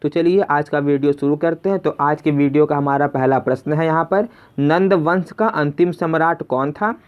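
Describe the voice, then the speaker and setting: A man's voice: on the high side, moderate pace, clear, resonant tone. A man presenting a spoken exam quiz lesson, conversationally repeating each question before giving the answer. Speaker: quiz teacher and video host. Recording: dull lo-fi voice recording.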